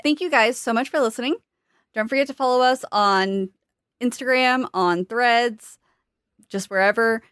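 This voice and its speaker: A woman's voice: medium pitch, fairly bright tone, smooth, dry recording, studio quality. A woman co-hosting a podcast in casual, conversational chat. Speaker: podcast co-host.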